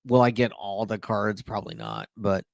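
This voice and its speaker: A man's voice: on the low side, big pitch swings, moderate pace, gravelly, dry recording, clean studio sound. A man co-hosting a podcast in casual chat, eagerly explaining how a card game works. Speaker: podcast co-host.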